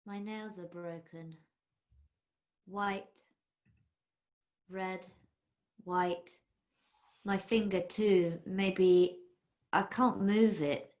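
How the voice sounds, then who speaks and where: An actress playing a beaten young woman election observer, speaking slowly in a detached, fragmented way while in pain. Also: medium pitch, steady tone, a little mellow. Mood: dazed. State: dazed and in pain.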